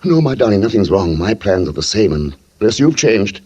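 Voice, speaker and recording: Low, husky, henpecked scheming husband. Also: male voice, sing-song, warm tone. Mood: secretive, tender. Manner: mild, deferential, dryly measured. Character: meek henpecked botanist husband, quietly scheming murder. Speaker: radio drama actor. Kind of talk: 1940s radio suspense acting. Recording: muffled old radio recording with music.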